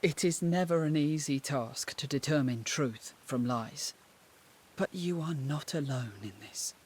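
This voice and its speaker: A man's voice: fairly high pitch, unhurried, a little hiss or hum, lightly acted. A man voicing a polite, supportive warrior companion in a video game, with courteous phrasing. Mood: gentle, reassuring. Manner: courteous, well-mannered phrasing. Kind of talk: video game cutscene acting.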